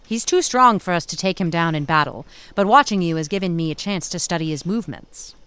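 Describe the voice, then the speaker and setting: A woman playing a brisk, know-it-all, brave young tinkerer in an audio drama. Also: lowish voice, animated, a bit bright, smooth, dry recording. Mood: scheming.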